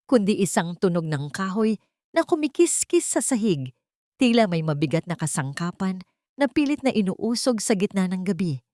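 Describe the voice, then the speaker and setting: A woman's voice: lowish voice, darkish tone, no echo, clean studio sound. A woman narrating a scripted dramatic story as an audiobook reader.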